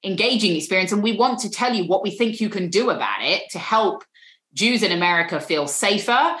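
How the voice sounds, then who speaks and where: A woman's voice: mid-range voice, expressive, brisk, fairly bright tone, roomy sound. A British woman in an interview, speaking earnestly and often repeating words as she thinks. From Britain.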